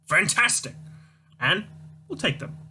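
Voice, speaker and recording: A man doing a brash, booming company boss. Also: big pitch swings, measured pace, bright, forceful tone, exaggerated voice. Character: boisterous brash middle-aged company boss. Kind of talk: reading a comic aloud, all voices.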